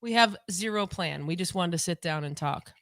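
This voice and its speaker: A woman co-hosting a casual home livestream podcast on a desk mic, talking in a rambling, chatty way and repeating herself, her voice lower than usual today. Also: moderate pace, a bit bright, no room sound, clean studio sound. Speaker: podcast co-host.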